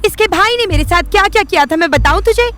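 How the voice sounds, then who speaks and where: A woman's voice: very high-pitched, lively intonation, quick pace, fairly bright tone. An actress playing a vengeful, unhinged young woman in an audio drama, intense, defiant and menacing. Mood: bitter accusing.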